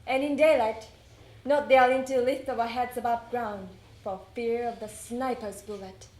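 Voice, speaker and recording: A woman giving a theatrical, expressive reading of a wartime letter as part of a readers theater. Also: on the high side, unhurried. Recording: roomy, distant mic, faint noise.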